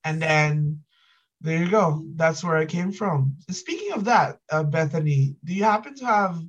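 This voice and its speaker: Filipino man, podcast co-host, relaxed chat with lots of "you know", slightly dull lo-fi sound. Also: on the high side. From Philippines. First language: Ilocano. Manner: many fillers, relaxed and reflective. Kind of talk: casual chat.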